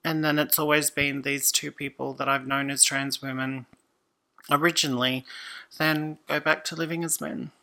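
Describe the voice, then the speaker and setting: A woman, a podcast guest talking casually and thoughtfully, often pausing and restarting. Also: sits low, little pitch movement, pushed and bright, poor audio. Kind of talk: casual conversation.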